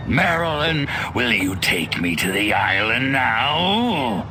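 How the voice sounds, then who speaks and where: An actor voicing an arrogant, sardonic alien invader passing as human in a video game, speaking with haughty, deliberate menace. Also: male voice, medium pitch, sing-song. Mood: deliberate, probing. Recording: noisy outdoor game ambience behind the voice.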